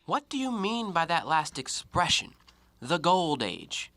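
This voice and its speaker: Actor as scornful, lively-voiced educated man. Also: on the high side, dry recording, full character voice. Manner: precise, deliberate, argumentative diction. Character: educated, coolly logical man, scornful and self-righteous. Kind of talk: audio play acting.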